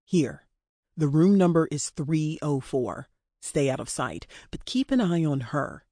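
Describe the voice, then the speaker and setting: A woman's voice: low-pitched, very animated, unhurried, mellow, no room sound, studio quality, full character voice. A woman voicing a commanding, mocking male kidnapper with curt delivery in an audiobook story.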